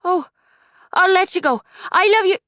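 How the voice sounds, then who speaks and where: A woman's voice: very high-pitched, pushed and bright, no room sound, studio quality, voice pushed up. Woman doing a fretful doting mother. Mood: fond. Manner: anxious, doting, quick to worry.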